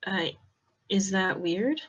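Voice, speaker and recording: A woman playing a shy, quietly teasing young man in an amateur audio fan fiction, in an understated, hesitant way. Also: medium pitch, expressive, takes it slowly, breathy edge. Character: shy, smirking, easily embarrassed young man. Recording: slightly dull, lo-fi microphone.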